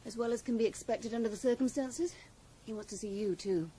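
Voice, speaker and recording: A woman's voice: on the high side, brisk, mellow, slight background noise, lightly acted. An actress playing a cool, guarded woman in a radio drama, composed, formal and matter-of-fact. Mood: cool, businesslike.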